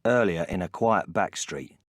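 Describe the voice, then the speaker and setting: A man's voice: deep voice, animated, normal speed, dry recording. A man narrating a crime game in short, scripted captions. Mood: terse. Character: low-voiced male narrator of a crime story.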